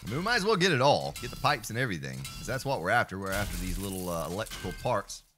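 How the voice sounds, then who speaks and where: Animated, bright-voiced male streamer. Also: fairly low pitch, fast, soft background music. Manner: playful, animated, drifts into mock voices and song snippets. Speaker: let's play streamer. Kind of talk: live gameplay commentary chatting with viewers.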